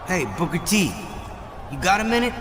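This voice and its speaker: A man's voice: sits high, animated, moderate pace. A man playing an assertive, questioning wrestler in a video game cutscene. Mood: defensive. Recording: echoey room, noisy background.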